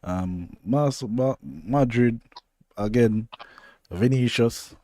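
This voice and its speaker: A man's voice: medium pitch, extremely slow, warm tone, no room sound. A young man with a Jamaican accent co-hosting a podcast, talking football in a laid-back, rambling way. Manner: laid-back, rambling, loose patois phrasing. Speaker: podcast co-host. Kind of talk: casual football chat.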